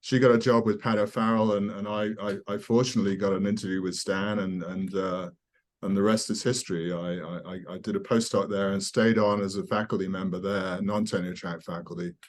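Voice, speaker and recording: An older man from South Wales with a softened British accent, speaking as a guest scientist in a conversational, halting, informal way. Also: low voice, little pitch movement. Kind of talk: conversational interview, personal recollection.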